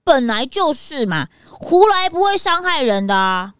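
Very high, eager young sidekick. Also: female voice, very animated, measured pace, a bit bright, full character voice. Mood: curious. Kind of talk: children's audio drama acting.

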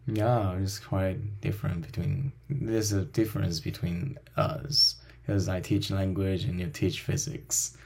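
A man from Taiwan hosts a relaxed chat, talking haltingly and often restarting or repeating his words. His voice sits fairly low and has a gravelly, fry-heavy texture. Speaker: host, language teacher. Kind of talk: casual conversation.

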